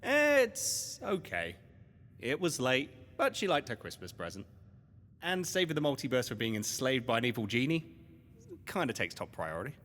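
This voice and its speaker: Wry sidekick, reverberant. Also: male voice, medium pitch, huge pitch swings, moderate pace, a bit bright. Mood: wry, hesitant. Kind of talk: audio drama dialogue.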